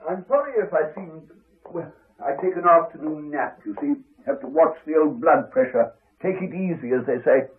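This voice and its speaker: Husky elderly country doctor. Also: male voice, fairly high pitch, expressive. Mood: apologetic, rueful. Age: older. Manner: halting, apologetic, rambling, courteous. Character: elderly retired village doctor, lonely, polite, rueful. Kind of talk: 1980s radio drama acting. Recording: muffled narrow-band 1980s radio recording.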